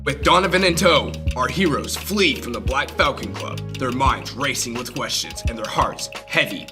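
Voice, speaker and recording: A man's voice: medium pitch, expressive, normal speed, over music. A young man narrating in the style of a 1940s radio serial announcer. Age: young adult.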